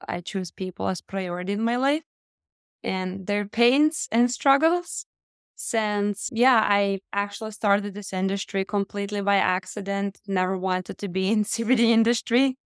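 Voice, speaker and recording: A woman's voice: medium pitch, smooth, no room sound, studio quality. A woman from Lithuania with a Lithuanian accent, speaking as an interview guest and telling her personal story in an earnest, emphatic way. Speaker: interview guest, health entrepreneur.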